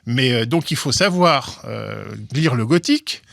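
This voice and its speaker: A man explaining legal history in an interview, talking haltingly with many restarts and repeated words. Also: mid-range voice, expressive, creaky, fairly bright tone, no echo, studio quality. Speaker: guest, legal commentator.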